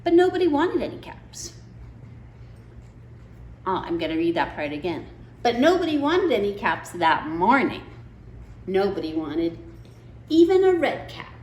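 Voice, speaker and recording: A woman reads a children's picture book at an unhurried pace, with very lively, swooping intonation. She talks directly to young listeners and puts questions to them. The room is echoey, with a little background noise. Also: medium pitch. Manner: expressive, deliberate, asking listeners questions. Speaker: storytime host reading a picture book to children. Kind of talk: read-aloud storytelling with asides to child listeners.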